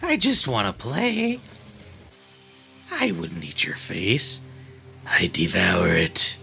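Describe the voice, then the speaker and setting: Sadistic clown monster: very creaky, wildly swooping, slow, music beneath. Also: male voice, medium pitch, huge pitch swings, bright, forceful tone, exaggerated voice. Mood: playful menace. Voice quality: gravelly. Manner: mock-playful, menacing. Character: creepy taunting monster clown, playfully sadistic.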